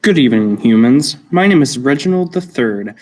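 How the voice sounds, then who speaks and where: Teen doing a snooty know-it-all lab rat for a radio play, very animated, bit far from the mic. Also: medium pitch, moderate pace, a little hiss or hum, natural voice. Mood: pompous, courteous. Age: teenager. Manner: pompous, formal, precise. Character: haughty talking naked mole rat, know-it-all lab creature. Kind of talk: school radio play acting.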